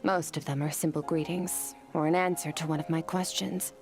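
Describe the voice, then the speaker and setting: A woman playing a stoic young swordswoman in a video game, somber and driven, speaking in a grave, measured way. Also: low-pitched, steady tone, music in the background. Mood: somber, fearful. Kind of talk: scripted video game voice acting.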